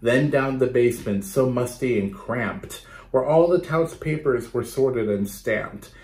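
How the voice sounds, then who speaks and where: A man in his thirties reading a picture book aloud for children, expressively, pausing to explain and ask questions. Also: medium pitch, darkish tone. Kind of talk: children's storytime read-aloud with asides and questions to young listeners. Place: small bedroom indoors.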